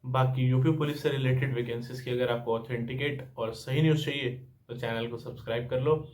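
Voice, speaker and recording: A man's voice: mid-range voice, fairly even intonation, a little fast, darkish tone. A young man giving informal updates and advice as a channel host, explaining point by point and mixing in English words. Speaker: exam-news channel host.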